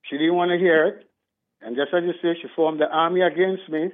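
A man's voice: a little high, steady tone, a little fast. Man calling in by phone. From Trinidad. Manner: earnest, rambling. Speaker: caller. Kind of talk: call-in talk radio. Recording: tinny phone line.

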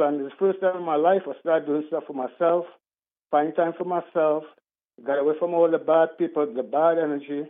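Man calling in by phone. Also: a little high. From Trinidad. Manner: earnest, rambling. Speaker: caller. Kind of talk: call-in talk radio. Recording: tinny phone line.

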